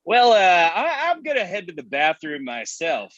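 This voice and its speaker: Man playing smug man in his thirties, glib, casual, animated intonation, dull muffled recording, comedy table read. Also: sits high, normal speed, no echo, full character voice. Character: glib, breezy thirty-three-year-old man, smug rival friend.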